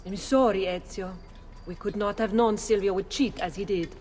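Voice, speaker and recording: A shrewd, knowing madam, voiced by a woman in a video game cutscene, laying out plans in a calm, persuasive way. Her voice has a long reverb and a faint noise behind it. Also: medium pitch, moderate pace, lightly acted. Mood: steady.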